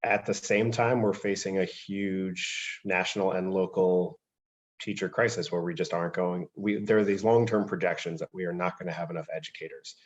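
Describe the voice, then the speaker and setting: A man's voice: deep voice, steady tone, normal speed. A man moderating an online panel discussion, putting thoughtful questions in a conversational way. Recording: dull lo-fi video-call audio.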